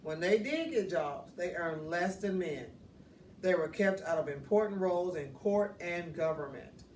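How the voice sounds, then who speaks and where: A woman reads a children's picture book aloud in an expressive, deliberate storytelling manner. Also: fairly low pitch. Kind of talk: children's read-aloud narration. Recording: roomy, distant mic, faint noise.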